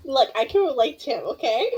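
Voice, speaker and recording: High-voiced, giggly woman gamer. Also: very animated, a little fast, darkish tone, husky, dull audio. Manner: giggly, slangy, reactive. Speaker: gamer playing and reacting with a friend. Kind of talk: casual gaming chat.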